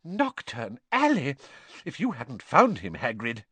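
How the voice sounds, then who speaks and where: A man voicing a warm but bossy middle-aged mother in a storybook reading, fretful, scolding and sharp-tongued. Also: medium pitch, huge pitch swings, normal speed, breathy edge, heavily acted.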